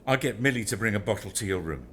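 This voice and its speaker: A man's voice: fairly low pitch, expressive, fast talker, a bit bright, a little hiss or hum. A man playing a commanding master of a manor house in an audio drama, dismissive yet reassuring. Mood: dismissive reassurance. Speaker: amateur actor. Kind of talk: community audio drama acting.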